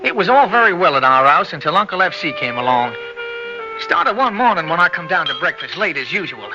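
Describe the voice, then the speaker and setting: A cheeky young lad in a 1960s radio play, talking high and bouncy as he wheedles and pleads. The old broadcast sounds dull and muffled, with a steady hiss underneath. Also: fairly quick, music in the background. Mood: storytelling. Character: cheeky young Cockney man Alfie, chatty. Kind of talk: 1960s radio drama acting.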